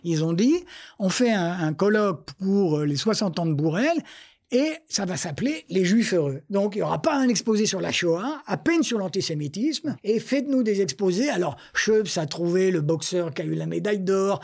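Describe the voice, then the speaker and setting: A man in his seventies with a husky voice, talking in a digressive, self-deprecating, emphatic way as an interview guest. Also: sits high, expressive, moderate pace, darkish tone. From France. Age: 70s. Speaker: interview guest, academic researcher. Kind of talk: anecdotal interview talk.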